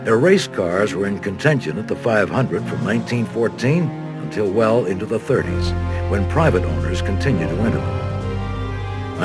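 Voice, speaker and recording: An American man reading scripted documentary narration as a studio voice-over. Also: lowish voice. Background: background music.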